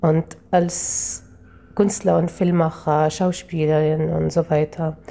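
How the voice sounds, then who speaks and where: Slow, gravelly young woman with Arabic accent. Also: sits low, little pitch movement, warm tone. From Palestinian town in Israel. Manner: halting, searching for words, hesitant. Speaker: interviewee, piano student. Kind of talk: interview answers.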